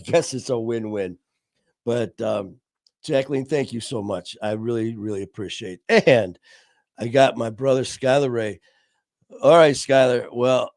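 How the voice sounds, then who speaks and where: A man's voice: fairly low pitch, darkish tone, dry recording, studio quality. A man hosting his live online show, chatting casually and gratefully, rambling with lots of fillers. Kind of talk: casual live-stream chat.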